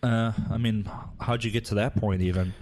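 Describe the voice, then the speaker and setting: A man's voice: on the low side, normal speed. A man hosting a podcast, talking in a loose, chatty way as he interviews a guest. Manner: relaxed, loose and chatty with fillers. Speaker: podcast host. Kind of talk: casual interview chat.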